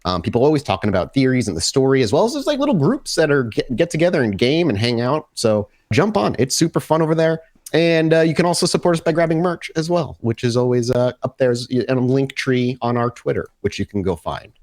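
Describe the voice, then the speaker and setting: A man's voice: medium pitch, very animated, fast talker, darkish tone. A man speaking as host and game master of a tabletop roleplaying podcast, casually chatting and narrating scenes.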